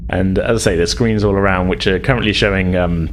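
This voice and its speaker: A man's voice: low-pitched, steady tone, brisk, slight background noise. A man narrating a tabletop game as game master, informal and descriptive, with a hesitant, improvised delivery.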